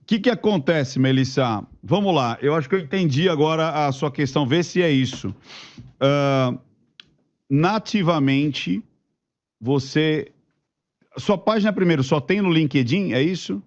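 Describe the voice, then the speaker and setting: A Brazilian man chats casually as a panelist in a fairly high voice. The recording is a little muffled and lo-fi. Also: unhurried. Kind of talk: casual chat.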